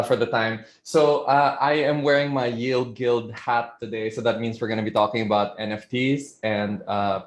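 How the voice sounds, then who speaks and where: A man from the Philippines speaks in a relaxed, conversational way, stopping for fillers as he tells a story during a presentation. His voice sits at an ordinary pitch. The sound is roomy and echoey. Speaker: webinar speaker. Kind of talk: presentation talk.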